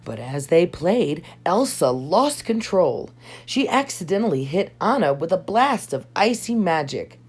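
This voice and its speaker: A woman reading a children's storybook aloud in an expressive storytelling style, with measured, dramatic delivery. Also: fairly low pitch, breathy edge. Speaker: storybook reader. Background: background music.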